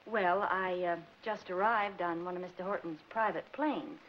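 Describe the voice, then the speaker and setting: An actress playing a shrewd, confident young woman in a 1940s comedy film, brisk and coy with slangy lines. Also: on the low side, fairly even intonation, close to an everyday voice. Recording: muffled, noisy old film soundtrack.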